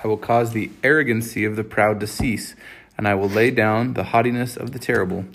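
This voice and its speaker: A man's voice: on the low side, fairly even intonation, fairly quick, creaky. A man reading scripture aloud as he leads a family reading. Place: home recording with family.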